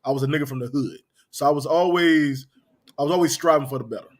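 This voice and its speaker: Fast-talking man hosting a casual podcast. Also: mid-range voice. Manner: loose, repetitive, peppered with "man" and "you know what I'm saying". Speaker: podcast host.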